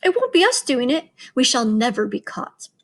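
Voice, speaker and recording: A gang of cruel witches shrieks and gloats in wild excitement, as a woman reads them out. The voice leaps very high and loud, full of glee at the thought of harm. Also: normal speed, full character voice. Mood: gleeful. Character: crowd of gleeful, cruel witches.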